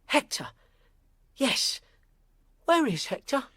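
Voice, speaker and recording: A woman playing a frightened young apprentice boy, timid, halting and deferential, in a period audio drama. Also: high voice, big pitch swings, a bit bright, no room sound, studio quality, heavily acted. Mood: anxious.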